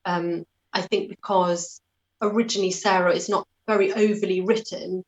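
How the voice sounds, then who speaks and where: A woman's voice: medium pitch, low-quality recording. A British woman answering as an interview guest in a conversational, thoughtful, self-effacing way.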